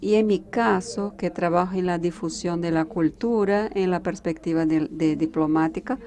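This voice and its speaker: A Brazilian woman diplomat, a native Portuguese speaker, speaking with a Brazilian accent at a conference panel, measured and halting with restarts. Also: on the low side, darkish tone.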